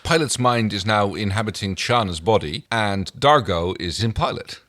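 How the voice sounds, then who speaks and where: A man's voice: fairly low pitch, moderate pace, a bit bright. A man co-hosting a podcast, talking through an episode recap in a casual, conversational style. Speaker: podcast co-host.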